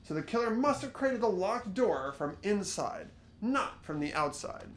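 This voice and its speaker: A high, lively male voice acted by a man as an earnest teenage sleuth in a visual novel game. He thinks aloud, reasoning carefully with some hesitation. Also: measured pace, echoey room, a little hiss or hum, full character voice. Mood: thoughtful, deducing. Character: earnest, hesitant teenage boy amateur sleuth, narrating thoughts.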